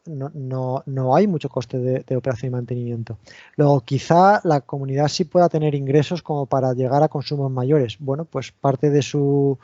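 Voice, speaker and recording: A man's voice: mid-range voice, mellow, dry recording, dull audio. A man with an accent from Spain giving an online technical talk, explaining step by step and often restarting his sentences. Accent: Spain Spanish. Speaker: invited lecturer.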